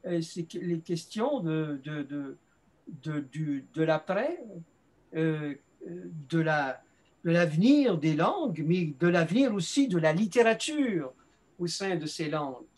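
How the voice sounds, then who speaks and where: High-pitched male moderator, stumbling through questions to the panel. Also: expressive, takes it slowly, darkish tone. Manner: stumbling, repeats words while framing questions. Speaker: colloquium moderator.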